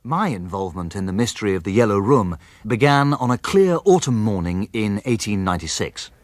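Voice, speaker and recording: A man's voice: lowish voice, expressive, brisk, faint music behind, lightly acted. An actor playing a stuffy, dryly exasperated male barrister who also narrates a radio drama in a formal, slightly pompous way. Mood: measured storytelling.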